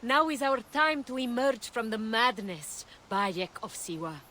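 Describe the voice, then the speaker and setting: Fierce, weary woman leader, high voice. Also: expressive, unhurried, background noise. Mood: solemn. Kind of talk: dramatic game acting. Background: prominent music.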